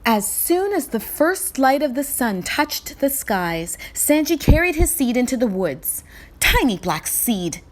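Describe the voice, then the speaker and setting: A woman reading a children's story aloud in expressive scripted narration. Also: high voice, measured pace, few pauses, poor audio.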